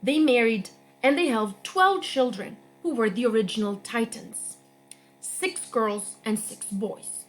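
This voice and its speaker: A young woman reading a myth aloud for a children's storytime, slowly and expressively. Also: slight background noise, low-quality recording. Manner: slow, expressive read-aloud with lively pitch. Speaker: guest reader.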